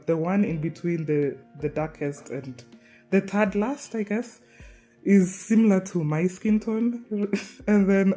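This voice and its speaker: A woman with a Kenyan accent, a gaming YouTuber giving a casual, rambling voiceover with soft laughs and hesitations. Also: low-pitched. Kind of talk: casual gameplay voiceover commentary.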